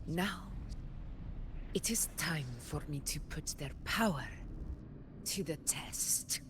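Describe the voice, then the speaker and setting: An actress playing a proud, haunted warrior ruler in a video game, speaking with solemn, weighty resolve. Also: female voice, deep voice, lively intonation, background noise. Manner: slow, weighty, confessional delivery. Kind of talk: dramatic video game voice acting. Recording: music behind the voice.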